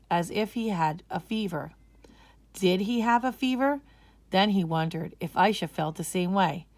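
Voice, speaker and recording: A woman reads a children's story aloud with measured, expressive delivery. Her voice sits somewhat low, and the recording is dry and close. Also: no echo. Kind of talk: scripted storybook narration.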